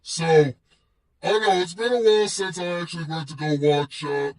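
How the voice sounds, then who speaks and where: A man hosting his own web series, talking casually to fans in slangy, boastful, drawn-out emphatic phrases, with a gravelly, husky voice. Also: a little high, fairly even intonation, a little mellow, distant mic. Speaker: host of a personal web series. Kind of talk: casual solo rant to fans.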